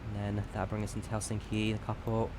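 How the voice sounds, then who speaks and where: A man's voice: lowish voice, monotone, fast, darkish tone, slight background noise. A man giving casual live stream commentary while flying a simulator, chatty and self-deprecating.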